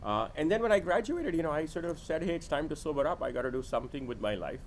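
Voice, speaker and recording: A man's voice: on the high side, very animated, fast, a little hiss or hum. A middle-aged man telling his story conversationally as an interview guest, candid and self-deprecating. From born in India, grew up in Sudan and Nigeria. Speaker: podcast guest, venture capitalist.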